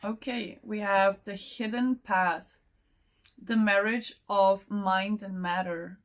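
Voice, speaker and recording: A woman's voice: mid-range voice, steady tone, slow. A young woman who is not a native English speaker, giving a casual spiritual oracle reading in a meandering way and laughing often between thoughts. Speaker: tarot and oracle card reader.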